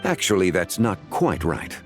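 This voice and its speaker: A man voicing a composed, scholarly gentleman in a video game, speaking formally and in measured phrases. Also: deep voice, big pitch swings, soft background music. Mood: composed, instructive. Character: refined, composed, scholarly gentleman antiques expert.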